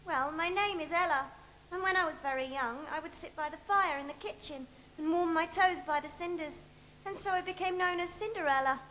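A sweet, eager young pantomime heroine, played by a woman, speaks in a very high, bright voice. She projects earnestly from the stage on a noisy theatre recording. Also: fairly quick, in a large hall. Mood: earnest, innocent.

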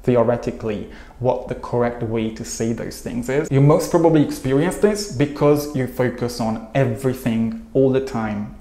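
Man coaching in a roomy room. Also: medium pitch. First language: Hungarian. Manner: earnest, steady, listing points in long runs. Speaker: English coach. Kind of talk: scripted video lesson to camera. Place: small room at home. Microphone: lapel mic.

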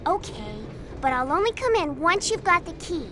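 Lady doing scared little kid in a game, very high plaintive voice, train noise behind. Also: sing-song, moderate pace. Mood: timid. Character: timid, cautious child passenger. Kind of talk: video game cutscene acting. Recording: noisy train ambience behind.

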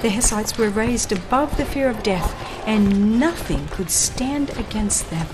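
A woman reading a religious history audiobook in a solemn, dramatic, measured storytelling style. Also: mid-range voice, rough recording. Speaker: audiobook narrator. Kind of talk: dramatized scripted narration of a religious history book. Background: music.

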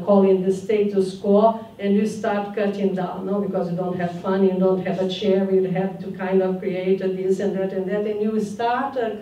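Older woman with a mellow, halting voice. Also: medium pitch. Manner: searching, halting, with "no?" tags. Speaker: panelist. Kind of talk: panel discussion talk. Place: conference room, seated at a panel table. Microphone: handheld mic. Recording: roomy hall from a distant mic.

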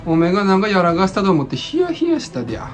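A woman's voice: mid-range voice, huge pitch swings, fast talker, soft background music. A woman voicing an anxious young hacker in a comedic voice drama, speaking in Tsugaru dialect in a fretful, self-pitying way.

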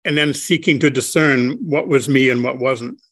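An older man speaking slowly and haltingly, with many pauses and restarts, as an interview guest on a video call from his home study. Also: mid-range voice, fairly bright tone, no echo. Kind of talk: reflective conversation.

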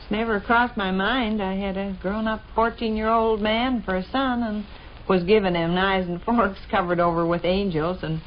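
A woman's voice: mid-range voice, fairly even intonation, normal speed, close to an everyday voice. An American woman playing a teasing, practical housewife and mother in a folksy, chatty 1940s radio comedy style. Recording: dull, noisy 1940s radio recording.

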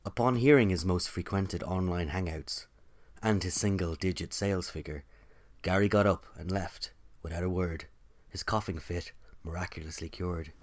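An actor playing a put-upon office worker, giving scripted first-person narration in a dry, wry manner. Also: male voice, sits low, moderate pace, lightly acted.

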